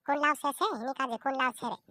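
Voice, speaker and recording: A woman's voice: sits high, steady tone, very fast, noisy background, lightly acted. A woman playing a bickering village relative in cartoon comedy, repeating herself as she scolds. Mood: scolding.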